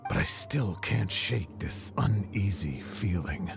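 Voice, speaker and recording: A man's voice: sits low, lively intonation, slow pace, vocal fry, faint music behind, full character voice. An actor playing a brooding, wary henchman in a video game, terse and guarded.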